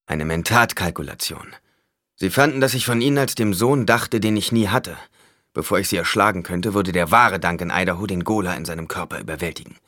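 A man's voice: sits low, brisk, pushed and bright, no echo, clean studio sound, exaggerated voice. A man voicing a loyal male swordmaster in an audiobook, terse and grim with a growling edge.

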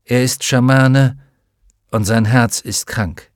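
A man reading an audiobook, voicing a solemn adult male village chief who speaks gravely and with command. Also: medium pitch, steady tone, takes it slowly, no room sound, studio quality, lightly acted. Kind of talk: audiobook character voice.

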